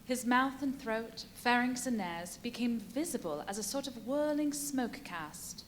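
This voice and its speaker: A woman plays the narrator of a live radio drama. Her voice is on the high side and lively in its rise and fall, telling the story in scripted prose. There is faint noise in the recording. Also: measured pace. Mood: measured storytelling. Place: live performance at a brewery venue.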